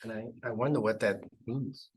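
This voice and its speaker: A man in the seminar audience quickly questioning the speaker. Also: fairly low pitch, fairly even intonation, husky, poor audio. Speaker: seminar attendee.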